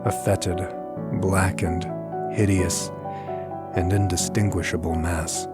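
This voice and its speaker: A man narrating gothic fiction as an audiobook, with measured, deliberate, dramatic storytelling. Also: sits low, level tone, over loud music.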